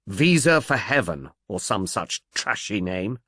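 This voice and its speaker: A man's voice: fairly low pitch, big pitch swings, unhurried, bright, forceful tone, slightly rough, no room sound, studio quality, pitched below the performer's own voice, exaggerated voice. A man voicing a pensive, donnish male amateur sleuth, vague and offhand in manner.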